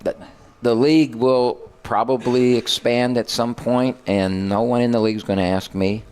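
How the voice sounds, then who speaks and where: A man's voice: medium pitch, fairly even intonation, moderate pace, a little mellow, clean tone. An older man, a basketball head coach, telling rambling stories on a radio show and correcting himself as he goes. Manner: rambling, self-correcting storyteller. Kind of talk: casual radio chat.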